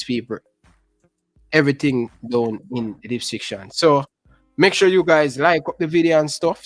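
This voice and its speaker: A man's voice: medium pitch, no echo. A Jamaican man hosting a casual online interview, hyping up his viewers with patois phrases.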